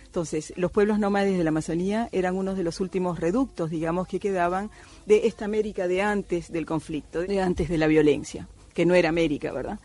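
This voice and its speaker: A woman's voice: on the low side, fairly even intonation, fairly quick, mellow. A middle-aged woman from Cuba, an anthropologist, speaking thoughtfully with many hesitations in a radio interview. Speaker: anthropologist guest.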